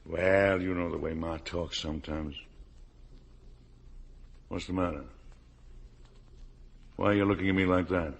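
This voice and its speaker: Gravelly, blustering American father. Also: low-pitched, fairly even intonation, measured pace, pauses often, full character voice. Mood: uneasy. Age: middle-aged. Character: blustering, defensive middle-aged father hiding guilt. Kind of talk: 1950 American radio drama acting. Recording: old 1950 radio broadcast.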